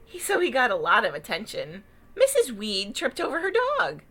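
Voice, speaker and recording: A woman reading a picture book aloud to children as a storyteller, with expressive, dramatic emphasis and small asides. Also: fairly high pitch, normal speed, slightly rough. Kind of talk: animated read-aloud narration with asides.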